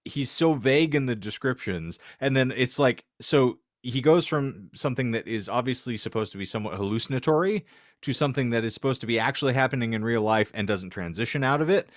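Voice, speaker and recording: A man's voice: mid-range voice, a little fast, no echo, studio quality. A man chatting casually about books as a podcast co-host, rambling in florid, wordy sentences with a sardonic, profane streak. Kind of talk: casual book chat.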